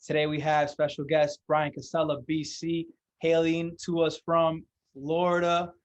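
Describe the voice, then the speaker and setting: Guy podcast host, voice a bit on the high side, earnest, slangy. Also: completely flat delivery, measured pace. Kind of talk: podcast interview hosting.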